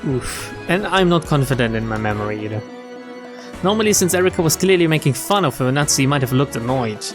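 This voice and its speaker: Expressive male streamer reading over music. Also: medium pitch, fast talker. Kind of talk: reading game narration aloud with casual commentary. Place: game stream with music behind.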